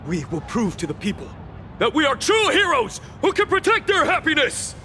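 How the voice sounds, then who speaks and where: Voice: high voice, wildly swooping pitch, moderate pace, sharp tone, a little hiss or hum, exaggerated voice. An actor playing a zealous, brash young hero in a video game, declaiming with fervour. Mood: fervent. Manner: declamatory, fervent. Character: zealous, brash young squad hero, shouting rallying cries. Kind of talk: dramatic video game voice acting. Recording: reverberant hall sound with faint music.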